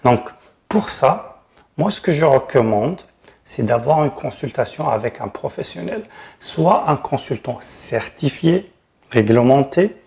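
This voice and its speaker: A man's voice: mid-range voice, lively intonation, measured pace, gravelly, a little mellow. A man from Tunisia, speaking as host and consultant in an explanatory advice video, frankly and directly, stressing key words. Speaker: channel host, regulated immigration consultant.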